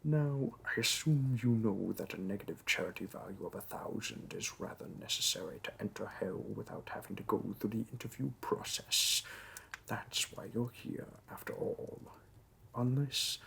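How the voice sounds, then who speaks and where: A man's voice: fairly low pitch, moderate pace, vocal fry, a bit bright, slight background noise. A man voicing a wry, courteous demon bureaucrat conducting interviews in hell, speaking formally and dryly in a scripted roleplay audio. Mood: dryly pleased. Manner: dry, formal, politely officious. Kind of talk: scripted roleplay audio monologue addressed to the listener.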